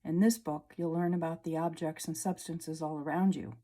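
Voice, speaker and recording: A woman teacher reading a children's science book aloud, slowly and clearly, at a table indoors. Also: low-pitched, steady tone, dark tone. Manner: slow, clear storybook reading. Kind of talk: read-aloud lesson for children.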